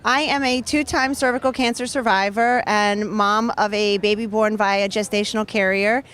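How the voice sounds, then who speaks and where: A woman's voice: mid-range voice, steady tone, normal speed, fairly bright tone. A woman in her thirties, an author talking earnestly and fluently in an interview at an outdoor event. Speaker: author and guest. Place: outdoor charity race booth area.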